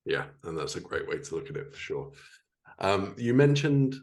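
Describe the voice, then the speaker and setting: Low-voiced man hosting a casual podcast. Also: big pitch swings, moderate pace. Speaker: podcast host interviewing a writer. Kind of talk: casual interview chat.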